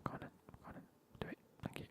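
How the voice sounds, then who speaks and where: A man's voice: very fast, a bit bright. A man, whispering as he banters with his live stream chat and swears now and then.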